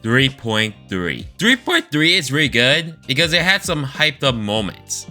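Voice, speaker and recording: A man with a very bright, forward voice and lively, up-and-down intonation gives informal video commentary. His phrasing is halting and choppy, at an unhurried pace. Guitar music plays behind him. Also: medium pitch. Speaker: video creator reviewing a game story.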